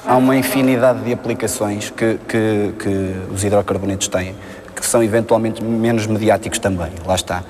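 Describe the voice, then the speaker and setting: A man's voice: on the low side, fairly even intonation, normal speed, darkish tone. A man in his early thirties with a European Portuguese accent, speaking off the cuff as an interviewed student. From Coimbra, Portugal. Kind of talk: spontaneous interview talk. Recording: reverberant space with clear ambient noise.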